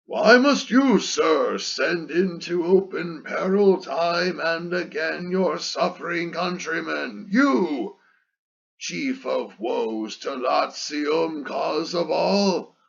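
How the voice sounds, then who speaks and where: A man playing a bitter elder statesman who pleads and taunts in declamatory, raspy epic verse, on a muffled recording. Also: on the high side, animated, exaggerated voice. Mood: scornful taunting. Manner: rhetorical, declamatory, slow and emphatic. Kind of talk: dramatic reading of epic verse.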